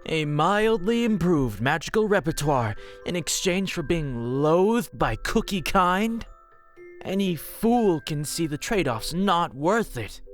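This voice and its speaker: Male actor as haughty cartoon trickster, highish male voice, scornful, sarcastic, theatrical, faint music. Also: sing-song, slow pace. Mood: disdainful. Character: sardonic, haughty male cookie trickster of deceit. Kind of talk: scripted fan audio drama acting.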